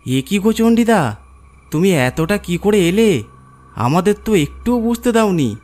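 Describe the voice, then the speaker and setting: A man's voice: high voice, very animated, unhurried, darkish tone, pitched above the performer's own voice. A man voicing a weary village corpse bearer who pleads and complains in a horror cartoon story. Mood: astonished.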